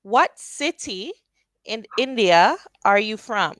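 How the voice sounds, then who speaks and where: A woman tutoring English over a video call, speaking slowly and patiently and asking simple questions. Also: medium pitch, animated, fairly bright tone, no echo. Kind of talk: casual tutoring conversation.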